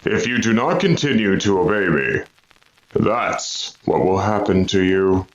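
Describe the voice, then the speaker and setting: An actor playing a cruel, commanding vampire in a radio horror drama, with drawn-out, menacing, theatrical delivery. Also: male voice, low voice, expressive, muffled recording. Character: sinister gloating male vampire, cruel and commanding.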